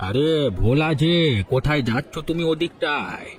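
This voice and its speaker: A man's voice: on the high side, animated, moderate pace, a faint crowd, a little hiss or hum, lightly acted. A man voicing a proud village headman in a cartoon, authoritative and coaxing. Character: village headman and teacher, proud, two-faced. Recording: soft music under the voice.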